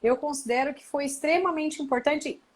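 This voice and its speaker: A Brazilian woman in her thirties, a guest on a live chat, speaks in a fairly high voice. She answers thoughtfully and keeps an even, measured pace. She checks in with her listener through frequent tag questions. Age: 30s. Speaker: guest, doctor and co-author. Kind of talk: casual interview answers.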